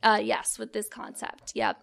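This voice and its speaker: A woman's voice: medium pitch, sing-song, a little slow, gravelly, a bit bright. A young woman, a student founder, answering interview questions in an upbeat way with many fillers. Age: young adult.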